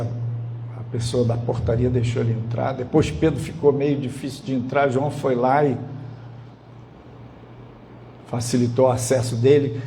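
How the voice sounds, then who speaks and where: A man from Brazil with a carioca accent, a pastor retelling a story as a measured, dramatic sermon. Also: fairly high pitch, darkish tone, slight background noise. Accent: Brazilian Portuguese, carioca.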